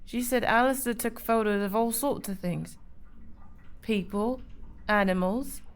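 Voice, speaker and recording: A woman playing a bold, determined girl detective in an audio drama, speaking with brisk authority. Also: on the high side, clear, resonant tone. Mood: curious, musing.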